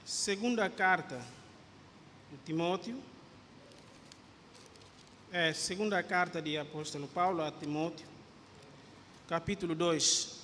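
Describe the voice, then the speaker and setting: Unhurried Guinea-Bissau pastor, high voice. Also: male voice, fairly even intonation, fairly bright tone. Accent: Guinea-Bissau Portuguese. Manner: unhurried, often adds a questioning tag. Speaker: visiting pastor. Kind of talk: church greeting and scripture reading. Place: church hall. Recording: reverberant church hall with faint ambient noise.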